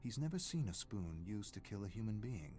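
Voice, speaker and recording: A man playing a brooding, menacing narrator who tells a dark horror game story in a deliberate, dramatic way. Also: on the low side, sing-song. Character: brooding, menacing male narrator of a sadistic doctor's thoughts. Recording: music playing behind the voice.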